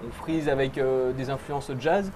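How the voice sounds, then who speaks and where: A man giving lively music commentary on a live talk show, upbeat and quick to laugh. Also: medium pitch, a little hiss or hum. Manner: enthusiastic, laughs easily. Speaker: music columnist. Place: live event on a boat.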